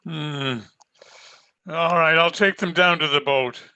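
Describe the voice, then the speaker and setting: A man playing a brooding, secretive murderer in old-time radio mystery style, grave, guarded and halting. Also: on the high side, fairly even intonation, sharp tone, clear, resonant tone, dry recording, dull audio, exaggerated voice. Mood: grimly tender. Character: brooding, secretive male murderer torn by love. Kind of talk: 1940s radio mystery acting. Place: at home, online video-call performance. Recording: noisy home internet-call recording.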